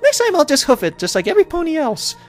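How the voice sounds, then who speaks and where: Man putting on a high-pitched, excitable cartoon pony mare. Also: quick pace, soft background music, exaggerated voice. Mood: playful. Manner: gushing, exclamatory. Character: hyper, excitable pink cartoon pony mare, party-loving.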